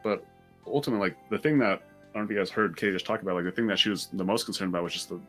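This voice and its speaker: A man's voice: low-pitched, fairly even intonation, racing, pushed and bright, faint music behind. A man chatting casually as a vlog host, telling a story in an informal way and repeating words as he works out his point.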